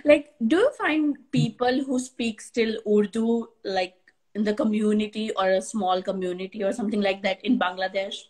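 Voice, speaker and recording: A woman's voice: mid-range voice, fairly even intonation, moderate pace, darkish tone. Hesitant woman interviewer from India. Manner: hesitant, many fillers, encouraging. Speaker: interviewer, host. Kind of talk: casual video call interview.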